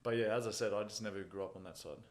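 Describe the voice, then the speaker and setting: A man, an MMA fighter being interviewed, telling stories in a relaxed, casual way and chuckling at his own jokes. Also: on the low side, rapid-fire.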